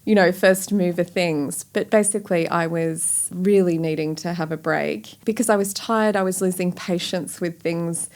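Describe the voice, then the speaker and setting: A woman's voice: fairly low pitch, fairly even intonation, moderate pace, hardly any pauses, darkish tone. A middle-aged woman with an Australian accent, a company founder talking candidly in an interview, with plenty of "you know" and "like". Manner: chatty and candid, many fillers like "you know" and "like". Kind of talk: reflective interview conversation.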